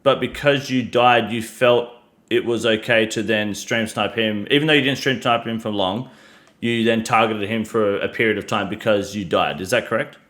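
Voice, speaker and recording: A man's voice: lowish voice, steady tone, normal speed, a bit bright. An Australian man hosting a casual interview, slightly drunk, hesitant and full of restarts. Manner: hesitant, many restarts and fillers, careful. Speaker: streamer host.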